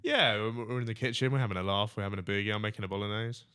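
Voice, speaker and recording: A man's voice: fairly low pitch, rapid-fire, sharp tone, clear, resonant tone. A man co-hosting a casual comedy podcast, joking around and running a quiz game. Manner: jokey, runs a quiz segment. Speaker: podcast co-host.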